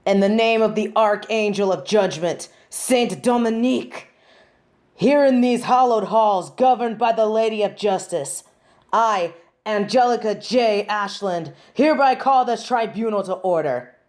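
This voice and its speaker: A woman performs a haughty female angel who presides as judge in an audio drama. She speaks slowly and formally, with pompous, theatrical command, at a middling womanly pitch. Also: a little mellow, close to an everyday voice. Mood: commanding, stern. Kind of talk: scripted audio roleplay.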